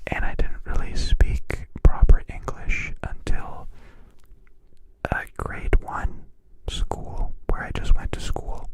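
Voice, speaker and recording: A man, a native Polish speaker raised in Canada, whispers a playful live-stream chat close to the mic and softly repeats words for effect. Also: slow pace, low-quality recording. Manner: whispering throughout.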